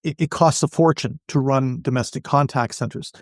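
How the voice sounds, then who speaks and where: A man's voice: mid-range voice, normal speed, no echo. Articulate male industry analyst, dry sound. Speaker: podcast guest, industry analyst. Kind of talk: explanatory interview answers.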